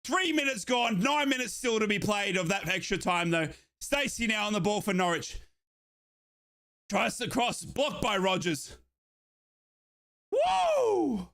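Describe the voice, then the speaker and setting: A young Australian man giving excited live football commentary on a watchalong stream, shouting and repeating himself, his voice going hoarse. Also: high-pitched, unhurried, long pauses.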